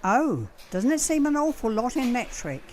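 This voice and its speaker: A woman's voice: fairly high pitch, very animated, normal speed, darkish tone. A woman playing a cheeky, blunt young shop assistant in a radio sitcom. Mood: chatty, cheeky. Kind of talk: radio play sitcom comedy acting. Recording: clearly noisy with clicks.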